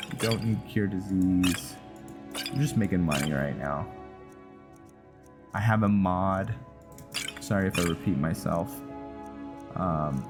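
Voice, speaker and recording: Low, slow-paced man over game music. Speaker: host of a game playthrough. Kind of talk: casual gameplay commentary. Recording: music behind the voice.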